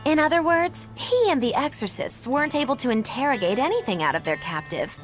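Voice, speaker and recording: A woman's voice: high voice, lively intonation, fairly quick, soft background music. A sly, teasing witch. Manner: sly, playful, lecturing. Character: sly young female witch, teasing know-it-all.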